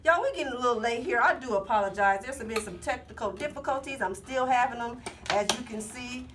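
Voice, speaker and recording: A woman's voice: on the high side, a little fast, a bit bright. Teasing woman host, slightly distant. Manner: playful, teasing, loose Southern slang. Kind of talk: casual chat. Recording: distant mic with faint hiss.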